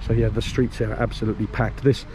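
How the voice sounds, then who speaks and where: A man giving a casual walking tour outdoors as a vlogger, rambling and chatty, with restarts and soft chuckles. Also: lowish voice, level tone, fast talker. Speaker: vlogger showing a property for sale. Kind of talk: casual walk-and-talk tour. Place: outdoors, walking a farm and village streets. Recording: outdoor noise behind the voice.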